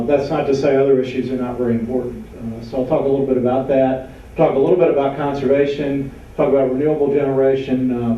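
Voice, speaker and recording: A man from New York gives a slide presentation in a large meeting room, his loud voice sounding dark and mellow. Heard from far off the mic in a dull recording that rings with heavy hall echo, he fills pauses with uh and calls for the next slide. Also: mid-range voice. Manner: loud voice, many uh fillers, asks for slides. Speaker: panel speaker. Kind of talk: slide presentation to a local audience.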